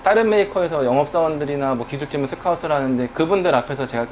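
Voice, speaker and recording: A man's voice: a little high, racing, a little mellow, a little hiss or hum. A man in his thirties, a guest on a talk show, speaking earnestly and reflectively about his work. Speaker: guest, online community manager. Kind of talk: casual conversation.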